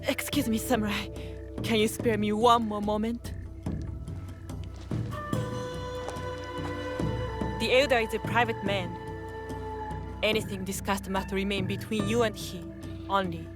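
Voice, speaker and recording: A woman's voice: medium pitch, fairly even intonation, normal speed, lightly acted. An actress playing a guilt-ridden but resolute young village woman in a game drama, confiding earnestly and hesitantly. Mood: discreet, polite. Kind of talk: dramatic game cutscene acting. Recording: prominent music behind the voice.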